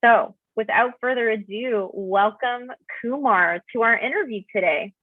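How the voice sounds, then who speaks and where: A woman's voice: a little high, measured pace, no echo. Enthusiastic woman host on a tinny line. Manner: enthusiastic, effusive praise. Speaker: interview host. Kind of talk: upbeat interview hosting. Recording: tinny phone-like video call audio.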